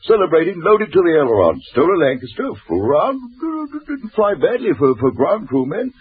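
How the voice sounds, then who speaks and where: A muddle-headed, jovial British officer in a 1940s radio play. His voice is very husky and swoops about in posh, stuttering fragments. The broadcast sound is thin and boxy. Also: a little high, very animated, a little fast, exaggerated voice. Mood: befuddled, chatty. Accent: upper-class British. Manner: stammering, clipped fragments, absent-minded repetition. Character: befuddled, stammering upper-class British military major, jovial old-boy type. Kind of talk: 1940s radio drama acting. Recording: tinny vintage radio broadcast.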